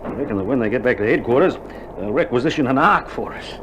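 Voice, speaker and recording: An actor playing a weary, dry-humoured Australian army doctor in a wartime radio drama, speaking in a colloquial, matter-of-fact way. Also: male voice, medium pitch, lively intonation, quick pace, lightly acted. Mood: weary, wry. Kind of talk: recorded radio drama acting. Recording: dull vintage vinyl recording, loud noise.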